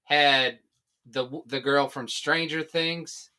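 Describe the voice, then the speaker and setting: A man's voice: fairly high pitch, fairly even intonation, slow, pushed and bright. A man in casual conversation as a podcast co-host. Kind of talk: casual chat with questions.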